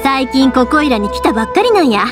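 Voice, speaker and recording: An actress playing a cheerful young fireworks-shop owner with a Kansai accent, lively and chatty. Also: female voice, high-pitched, fast. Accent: Kansai Japanese. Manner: lively, chatty, warm teasing. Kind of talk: game voice acting. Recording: game audio with music behind.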